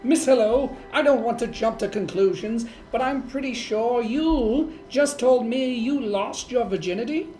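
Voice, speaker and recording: A woman playing a wry, weary male private detective in a noir parody, speaking in a dry deadpan. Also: on the high side, unhurried, hardly any pauses, lightly acted. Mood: deadpan, skeptical. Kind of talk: noir parody dialogue and narration. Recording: roomy echo, faint music underneath.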